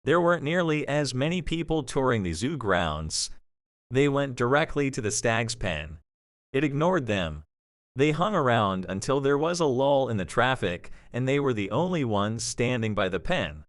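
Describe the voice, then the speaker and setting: A man reads as the narrator of a dramatic story. His mid-pitched voice moves with lively, varied intonation, and his delivery is measured and expressive. The sound is dry and close. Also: no echo.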